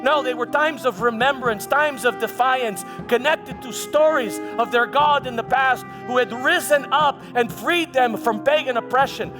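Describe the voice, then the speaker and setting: A man playing a proud, vengeful Roman governor in a theatrical monologue, speaking emphatically with halting repetitions and dramatic pauses. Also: very high-pitched. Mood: explaining, intense. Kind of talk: dramatic first-person monologue in church. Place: church hall, live performance. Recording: echoey hall with background music.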